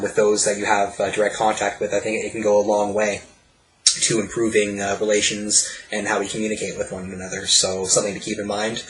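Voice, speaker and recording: Low, flat-voiced man with many fillers. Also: slight background noise, low-quality recording. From Canada. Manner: hesitant, many fillers and restarts. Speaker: album reviewer. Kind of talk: presentation voice-over.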